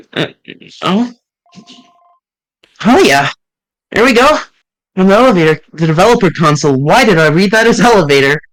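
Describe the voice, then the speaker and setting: A man's voice: on the high side, fairly bright tone, low-quality recording. A man streaming a game, chatting casually in a bemused way. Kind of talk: casual gaming commentary.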